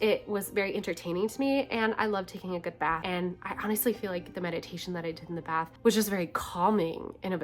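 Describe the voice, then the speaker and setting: Congested, nasal young woman vlogging. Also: medium pitch. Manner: chatty, soft-spoken, often laughing between phrases. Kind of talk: casual diary-style vlog.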